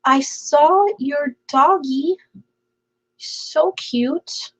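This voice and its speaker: A woman's voice: high voice, very slow, fairly bright tone. Chatty woman streaming from home, voice scratchy and half gone, a bit lo-fi. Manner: chatty, laughs often, many fillers. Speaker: livestream host. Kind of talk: casual chat reading viewer comments.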